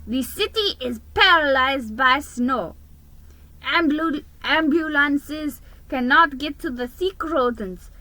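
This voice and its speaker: A woman's voice: high-pitched, slow pace, pushed and bright, no echo, pitched above the performer's own voice, heavily acted, poor audio. A woman voicing an honorable male mouse mayor from a storybook, making a grave, formal and urgent public address. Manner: formal, emphatic public announcing.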